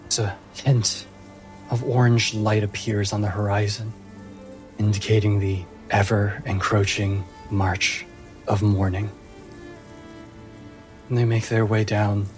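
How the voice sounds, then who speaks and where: A man narrating a tabletop fantasy game as game master, in deliberate, atmospheric phrases broken by pauses. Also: on the low side, flat delivery, a little mellow. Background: music.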